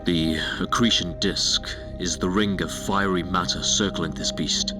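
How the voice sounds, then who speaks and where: A man's voice: low voice, fairly even intonation, measured pace. Daring, driven space pilot, male actor, casual and self-assured, science fiction audio drama, prominent music underneath. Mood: breezy, confident. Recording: music behind the voice.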